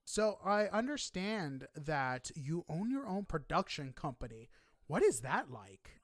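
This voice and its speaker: Casual male interviewer, higher-pitched voice. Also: a little slow, no room sound, studio quality. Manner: effusive, informal, animated reactions. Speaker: podcast interviewer.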